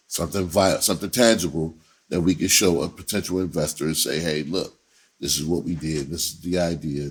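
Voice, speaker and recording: Middle-aged male storyteller, low-pitched and raspy, loose slangy delivery, off-the-cuff and meandering. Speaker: entertainer and filmmaker telling his own story. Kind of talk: casual storytelling.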